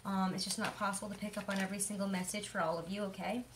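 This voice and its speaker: A young woman with a hoarse voice giving a chatty, rambling tarot reading, full of fillers. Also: mid-range voice, level tone, very fast, noisy background. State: hoarse from illness. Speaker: tarot reader. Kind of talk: casual tarot reading monologue.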